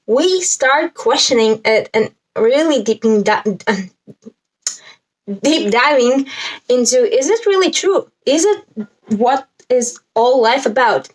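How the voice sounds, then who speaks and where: Slow, higher-pitched Latvian-accented young woman. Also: expressive, poor audio. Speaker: spiritual self-help vlogger. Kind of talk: casual talk to camera, explaining a list.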